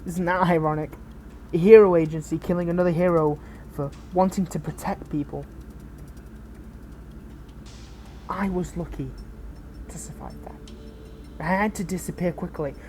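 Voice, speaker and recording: A woman playing a protective, bitter but caring fallen hero in an audio roleplay, speaking intimately and earnestly with halting, dramatic pauses. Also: on the low side, fairly even intonation, dark tone, soft background music, natural voice. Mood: bitter, regretful. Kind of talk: scripted audio roleplay addressed to the listener.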